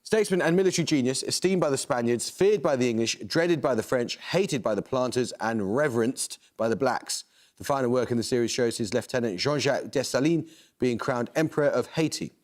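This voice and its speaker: A man hosting a television quiz show, reading questions and introductions in a crisp, measured way. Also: mid-range voice. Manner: crisp, measured, lively intonation. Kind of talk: scripted quiz presenting. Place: television studio.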